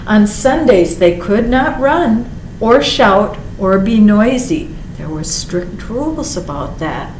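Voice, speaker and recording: Slow-paced woman reading a children's story, roomy echo. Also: mid-range voice. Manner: expressive storyteller with drawn-out words and short asides. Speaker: library storytime reader. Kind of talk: children's picture book read-aloud. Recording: roomy echo with faint background noise.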